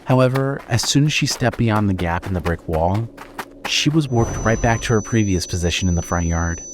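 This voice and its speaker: A man narrating a scripted horror story as storyteller, measured and suspenseful. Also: fairly low pitch, expressive, over music.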